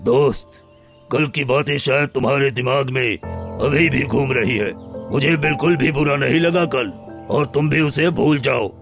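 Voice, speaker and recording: A man voicing a proud, wounded lion in a cartoon story, speaking solemnly and deliberately. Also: mid-range voice, creaky. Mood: calm, coaxing. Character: proud, wounded male lion, loyal friend turned stern. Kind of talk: scripted Hindi cartoon story dialogue. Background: background music.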